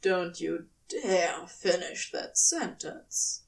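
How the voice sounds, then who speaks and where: A woman's voice: fairly low pitch, animated, very slow, vocal fry, far from the mic, voice pushed down, heavily acted. A woman voicing a devoted, wry male demon lover in an audio fan fiction, with a dry, blunt delivery.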